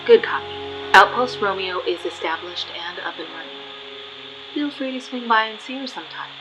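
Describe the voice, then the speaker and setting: A woman playing a no-nonsense supervisor giving orders over the radio in an audio drama, crisp and procedural. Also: mid-range voice, expressive, normal speed, over music, lightly acted, low-quality recording. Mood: brisk, commanding.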